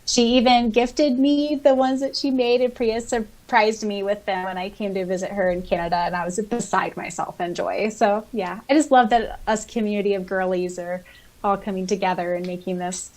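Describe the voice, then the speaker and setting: A woman with a medium-pitched voice talks as a podcast co-host. She is informal and enthusiastic, switching between casual chat and reading written comments aloud. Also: steady tone, normal speed, darkish tone.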